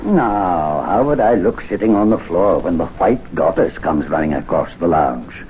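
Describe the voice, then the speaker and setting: An actor playing a scornful gentleman club member in a 1970s radio drama, mocking by turns and menacing, on a dull broadcast recording. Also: sits low, animated, moderate pace, slight background noise. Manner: mocking, rapturous, then menacing.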